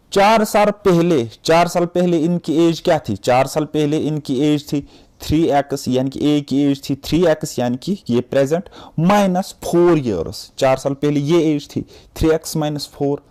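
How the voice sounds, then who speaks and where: Young Kashmiri man, patient math tutor, slightly high pitch, mellow tone, repeats himself to make sure students follow. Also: unhurried. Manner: patient, repetitive explaining, often checking understanding. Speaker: teacher. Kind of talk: exam-prep math lesson.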